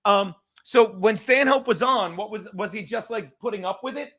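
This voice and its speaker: A man's voice: high-pitched, normal speed, fairly bright tone. A man hosting a casual, profane livestream chat, rambling in a self-deprecating way and swearing freely. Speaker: livestream host.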